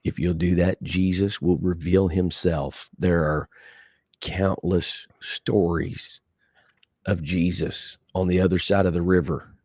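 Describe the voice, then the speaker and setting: A man's voice: low voice, steady tone, slow, darkish tone, dry recording, clean studio sound. A man hosting a podcast, upbeat and friendly in casual banter. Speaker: podcast host.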